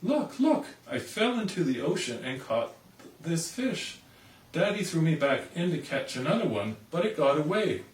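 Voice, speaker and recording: Casting: man playing small earnest girl for children's storybook, high and animated, roomy distant sound. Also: normal speed. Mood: excited. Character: little girl, excitable and earnest, proud of her catch.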